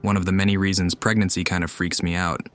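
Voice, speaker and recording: A woman's voice: a bass voice, level tone, fast talker. A woman playing a video game and commenting casually with dry, sarcastic asides. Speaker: gamer commenting over a playthrough. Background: game music.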